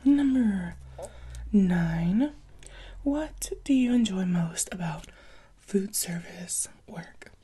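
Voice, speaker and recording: A woman speaking very softly and breathily, often in a whisper, as a gentle, encouraging interviewer in an ASMR roleplay. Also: mid-range voice, lively intonation, extremely slow, long pauses. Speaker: ASMR roleplayer playing a restaurant job interviewer.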